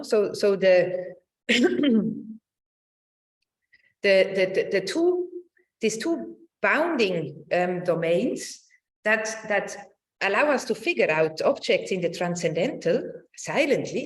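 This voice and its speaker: A middle-aged woman giving an improvised academic lecture, searching for words and often repeating phrases. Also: medium pitch.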